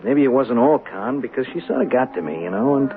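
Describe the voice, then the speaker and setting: An actor playing a young streetwise hustler in a 1970s radio drama, slangy, offhand and hesitant, cocky yet guarded. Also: male voice, medium pitch, expressive, fairly quick, lightly acted. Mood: brooding narration. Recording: narrow, faintly noisy old radio broadcast.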